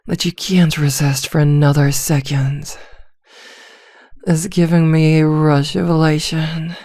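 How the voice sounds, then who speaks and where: A woman playing a seductive, domineering female vampire hypnotist in an ASMR roleplay, speaking softly, deliberately and with teasing command. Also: deep voice, no room sound, clean studio sound, heavily acted.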